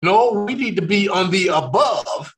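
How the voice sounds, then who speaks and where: A man's voice: sits high, animated. A man from California, hosting a faith discussion, talking earnestly with many restarts. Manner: slow, earnest, with frequent restarts. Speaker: ministry discussion host.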